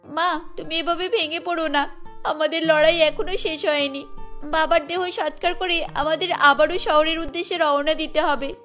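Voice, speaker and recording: A woman's voice: sits high, fairly even intonation, normal speed, a bit bright. A woman voicing a hungry young village daughter in a cartoon story, earnest and pleading in a girlish manner. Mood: earnest, urging. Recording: music bed under the voice.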